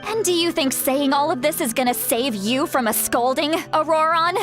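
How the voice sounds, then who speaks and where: Casting: youthful-sounding game guardian woman, high voice, exasperated, sarcastic, lectures like a fussy granny, music behind. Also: normal speed, fairly bright tone. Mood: scolding. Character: youthful-looking scolding granny guardian, exasperated but caring. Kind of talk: video game character acting.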